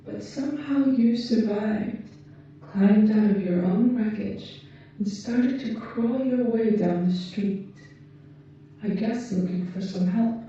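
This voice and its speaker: A very soft, dark-voiced female alien, acted by a woman, tends to a patient in an ASMR roleplay. She speaks slowly and gently, with formal, measured wording and a calm, caring temper. The recording is very echoey, as if at some distance from the mic. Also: mid-range voice, lightly acted. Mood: calm, reassuring. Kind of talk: ASMR roleplay, scripted monologue to the listener. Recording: muffled, echoey, distant, faint noise.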